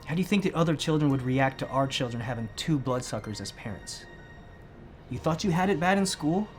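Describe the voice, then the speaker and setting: A man acts a conventional, worry-prone fiancé from a comic short film, reasoning things out in earnest protest. His pitch sits in an ordinary middle male range. A little music plays behind him. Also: lively intonation, fairly quick, natural voice. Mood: exasperated pleading. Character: anxious, sensible, conventional fiancé with many phobias. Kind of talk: comedic short film dialogue.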